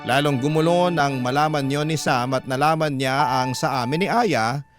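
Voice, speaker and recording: A man reading a first-person love letter as a radio storyteller, with emotive, deliberate phrasing. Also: medium pitch, over music. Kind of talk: dramatic scripted narration.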